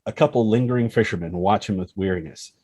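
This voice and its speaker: Low-voiced man narrating a screenplay, close and dry. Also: quick pace, a little mellow, no echo. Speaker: screenplay reader. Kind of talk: dramatic scripted narration of screenplay action.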